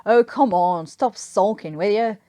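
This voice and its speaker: A woman's voice: mid-range voice, measured pace, dark tone, no room sound, clean studio sound, lightly acted. A woman voicing a cheeky, sickly Cockney man in a story, with teasing, rambling informal talk. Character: cheeky, sickly Cockney Englishman, a wartime airman. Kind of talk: storybook character voice.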